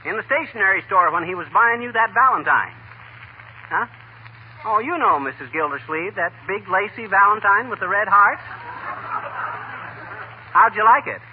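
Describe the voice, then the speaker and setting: A blustering, mischievous husband in a vintage radio comedy. His voice is high and swings animatedly, folksy and cocky. It comes through tinny and slightly hissy. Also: animated, normal speed, pauses often. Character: blustering, boastful, mischievous husband, stubbornly frank. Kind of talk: 1940s radio comedy acting.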